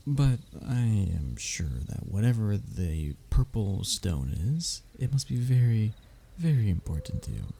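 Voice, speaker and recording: Man playing a flustered, low-voiced young royal heir. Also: big pitch swings, measured pace, gravelly, few pauses, warm tone, slightly rough, heavily acted. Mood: earnest, pleading. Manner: pompous formal wording that falters into nervous stammering. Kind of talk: roleplay audio drama, addressed to the listener.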